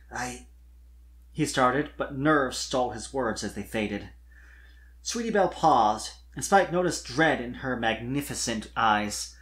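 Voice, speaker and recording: An expressive storyteller narrates scripted fiction with highly animated intonation. The voice sits in a middle range and swings up and down through each sentence.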